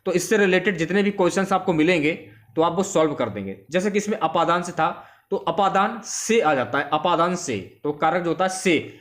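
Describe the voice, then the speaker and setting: A man with a slightly high voice speaks as an exam-prep teacher. He explains in an informal lecture style, going from question to answer and repeating key phrases as reminders.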